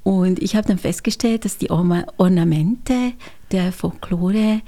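Hungarian-born lady teacher chatting slow and thoughtful, soft mellow voice, lots of ums. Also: lowish voice. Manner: thoughtful, measured, with pauses and fillers. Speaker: guest, German teacher. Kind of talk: radio conversation.

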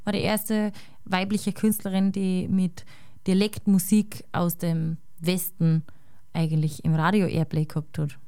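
An Austrian woman with a Salzburg accent, a guest chatting casually and thinking aloud, often ending with a quick tag question. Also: on the low side, level tone, mellow, dry recording, studio quality. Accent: Salzburg Austrian German. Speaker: guest musician. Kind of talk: casual conversation.

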